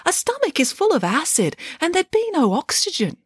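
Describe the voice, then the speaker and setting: A woman's voice: extremely high pitch, huge pitch swings, normal speed, no room sound, clean studio sound, voice pushed up, full character voice. A woman voicing a small young piglet son, innocent, earnest and questioning, in a children's storybook. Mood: doubtful.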